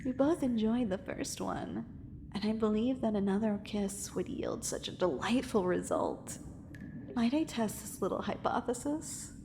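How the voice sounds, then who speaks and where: A woman's voice: mid-range voice, expressive, unhurried, vocal fry, dark tone, in a large hall, a little hiss or hum. A woman voicing a possessive, tender mermaid in an ASMR romance roleplay, with formal, flowery, coaxing and intimate speech. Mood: coaxing, teasing. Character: possessive, adoring female angler mermaid, dangerous and tender.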